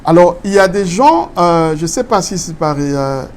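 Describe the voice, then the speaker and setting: A man's voice: high-pitched, lively intonation, moderate pace, slight background noise. A man from Congo explaining constitutional law in an interview, mixing French and Lingala and asking rhetorical questions. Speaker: political guest.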